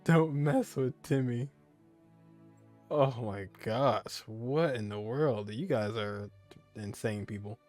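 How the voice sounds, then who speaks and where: A man with a mid-pitched voice gives casual let's-play commentary. He talks slowly, in bemused asides and mild exclamations, with music faintly behind him. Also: darkish tone. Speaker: gaming video host.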